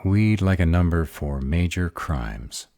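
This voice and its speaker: Guy doing a sarcastic protective dragon dude for roleplay audio, really deep, slow, close dry sound. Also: lively intonation, dark tone, dry recording. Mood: sarcastic surprise. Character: male were-dragon, grumbling, sarcastic yet protective lover.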